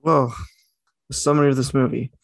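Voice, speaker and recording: A man's voice: mid-range voice, steady tone, fairly quick, dry recording. A young man co-hosting a casual podcast, rambling and correcting himself as he talks. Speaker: podcast co-host. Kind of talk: casual chat.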